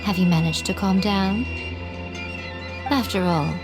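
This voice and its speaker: A woman's voice: medium pitch, unhurried, close to an everyday voice. Game priestess, acted by a woman: elegant, articulate, teasing, middle register, faint music underneath. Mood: gentle probing. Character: playful, sly, elegant shrine priestess. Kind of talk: scripted game dialogue.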